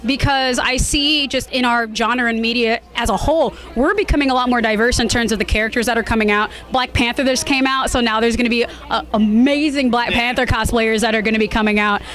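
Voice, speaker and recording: High-voiced, bubbly woman chatting in an interview. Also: fairly even intonation, brisk, fairly bright tone. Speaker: cosplayer being interviewed. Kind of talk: casual interview chat at a convention.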